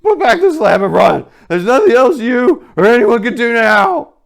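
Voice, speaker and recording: A man voicing a terrified, desperate man in a horror story reading, with a shaky, hoarse voice and frantic, pleading delivery. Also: very high voice, big pitch swings, normal speed, pitched above the performer's own voice, exaggerated voice. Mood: frantic screaming. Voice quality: shaky, hoarse, whispery to shrieking. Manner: frantic, breathless exclamations and urgent pleading. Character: terrified, desperate man calling from a tomb below.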